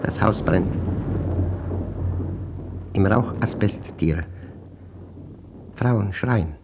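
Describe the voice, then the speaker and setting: A man acting a brooding mathematician in a German radio play, reading his diary in terse, deliberate, measured fragments. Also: lowish voice, very noisy. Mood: haunted, grave.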